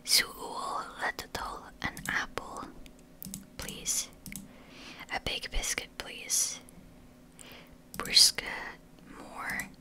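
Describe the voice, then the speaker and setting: A woman, whispering casually through language-app practice, halting and swearing now and then. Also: pushed and bright. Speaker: language learner.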